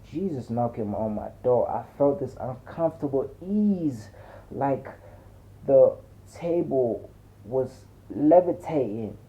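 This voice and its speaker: Male audiobook narrator, first-person fiction, slangy delivery, slow-moving, tinny narrow-band sound. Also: mid-range voice, lively intonation, mellow. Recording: muffled, narrow phone-like sound.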